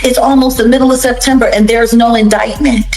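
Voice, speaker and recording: A woman with a highish voice gives emphatic, opinionated interview commentary. She repeats phrases and throws out rhetorical questions in a very echoey room, with faint music underneath. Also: fairly even intonation, brisk, darkish tone. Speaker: interview guest.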